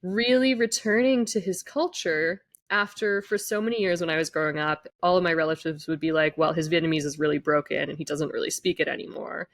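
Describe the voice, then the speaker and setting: A woman's voice: deep voice, fairly quick, hardly any pauses. A woman guest on a podcast telling a personal family story in casual conversation, often saying "like" and "you know.".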